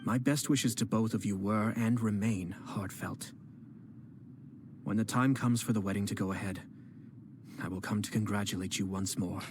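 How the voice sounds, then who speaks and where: An actor playing a poised young clan head and cunning strategist, speaking in a measured, courteous way in video game dialogue. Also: male voice, lowish voice, steady tone, noisy background, natural voice. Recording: game dialogue with music underneath.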